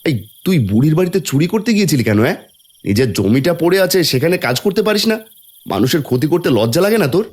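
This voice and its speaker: A man's voice: fairly high pitch, very animated, fast talker, a little mellow. A man playing an earnest, stern elder brother in a village fairy-tale cartoon. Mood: scolding. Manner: earnest, admonishing.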